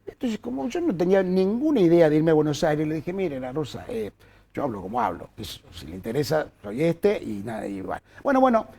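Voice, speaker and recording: A man's voice: fairly high pitch, fairly quick, gravelly, slightly rough. An older man from Córdoba with an Argentine accent, telling career anecdotes as an interview guest with lots of fillers and restarts. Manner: animated storyteller with frequent fillers and restarts. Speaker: journalist guest. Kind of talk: anecdotal storytelling in conversation.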